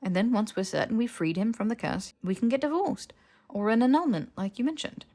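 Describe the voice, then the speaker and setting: A woman's voice: on the high side, animated, a little fast, warm tone, pitched above the performer's own voice. A woman voicing a flippant, defensive young man who blurts and stammers, in a fiction audiobook. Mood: earnest, persuading. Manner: stammering, protesting.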